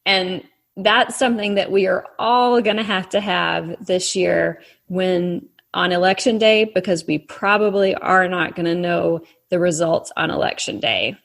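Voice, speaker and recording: Gravelly low-voiced woman author. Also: unhurried, fairly bright tone. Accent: faint Texas. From Texas. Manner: friendly, explains patiently to a child. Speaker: author guest. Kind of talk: casual interview chat.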